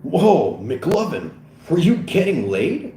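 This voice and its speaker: A man's voice: on the high side, huge pitch swings, measured pace, a little mellow, echoey room, slight background noise, voice pushed up, poor audio. A man playing a brash, foul-mouthed, joking police officer in a read-aloud comedy script, with a blustering delivery. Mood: excited. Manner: blustering, swearing.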